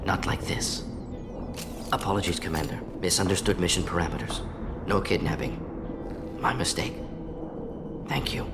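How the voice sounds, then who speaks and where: An actor voicing a brisk older male alien scientist in a video game, clinical yet burdened, speaking in clipped telegraphic sentences. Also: sits low, animated. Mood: regretful. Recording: background music under the voice.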